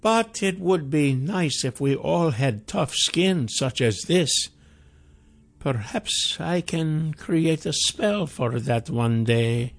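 A man voicing an old male wizard who is calm, protective and speaks slowly in a raised, distant-sounding voice. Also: a little high, creaky, voice pushed up, heavily acted. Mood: musing.

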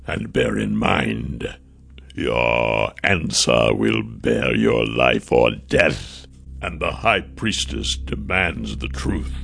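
A man voicing a huge, clawed, scaled male monster with a slow, menacing growl in an audiobook. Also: very deep voice, big pitch swings, creaky, fairly bright tone, breathy edge, soft background music, voice pushed down, heavily acted. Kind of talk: audiobook character voice.

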